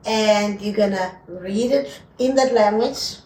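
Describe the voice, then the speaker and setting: A woman's voice: medium pitch, slow pace, a little mellow. A South African woman whose first language is Zulu, co-hosting a casual podcast and teaching words in a playful, self-mocking way. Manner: playful and chatty, laughing at herself. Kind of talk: casual chat. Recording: roomy, distant mic, faint ambience.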